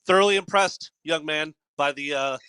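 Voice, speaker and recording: A man's voice: a little high, normal speed, sharp tone, clean tone, no echo. A man of forty hosting a casual sports podcast, talking loosely with fillers and restarts. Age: 40s. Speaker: podcast host.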